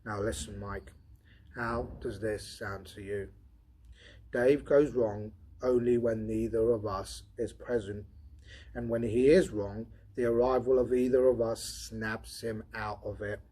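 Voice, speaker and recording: Man reading as a brusque, logical technician, slightly husky. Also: fairly low pitch, fairly even intonation, a little slow, mellow. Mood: reasoning. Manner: dry, methodical, impatient. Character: brusque, logical, skeptical male senior robot field technician. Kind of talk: audiobook character dialogue.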